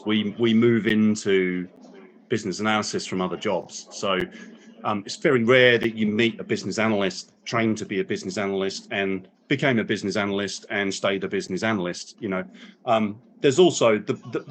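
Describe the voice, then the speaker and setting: Male panel guest, low voice, thoughtful, halting delivery. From not from New Zealand. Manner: many restarts and fillers, reflective. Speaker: guest speaker, business analyst. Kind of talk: casual panel talk. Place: New Zealand.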